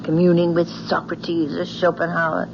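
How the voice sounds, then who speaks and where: Low-voiced young fiancée, old radio. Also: female voice, monotone, moderate pace, creaky, highs cut off. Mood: defensive, chiding. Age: young adult. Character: affectionate young fiancée, defending her father, placating. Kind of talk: old-time radio drama acting. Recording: noisy band-limited old radio recording.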